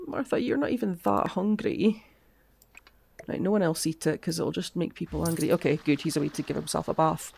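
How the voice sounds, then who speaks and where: A woman chatting casually through gameplay commentary, her voice cutting out from a lingering cough as she thinks aloud with soft asides. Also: low-pitched, a little fast, creaky, husky, no room sound. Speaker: game streamer.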